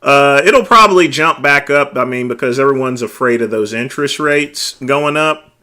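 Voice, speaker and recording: A middle-aged man hosting a casual late-night livestream, talking loudly and easygoing, chatting with his viewers. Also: medium pitch, moderate pace. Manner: loud, laid-back, drawls filler words like "there". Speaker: livestream host.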